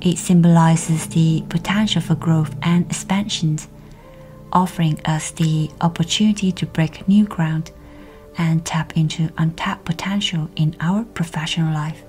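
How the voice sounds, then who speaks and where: A woman with a slightly low voice leads a guided meditation very slowly. Her delivery is soft and breathy, often close to a whisper, and soothingly measured. Music plays behind her. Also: fairly even intonation. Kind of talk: guided meditation, scripted narration. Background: background music.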